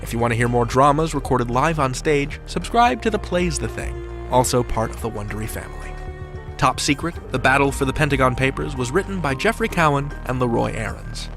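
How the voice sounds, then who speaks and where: A man's voice: medium pitch, expressive, a little fast, vocal fry, a bit bright, faint music behind. A man hosting a podcast with scripted narration in a wry, playful manner. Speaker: podcast host.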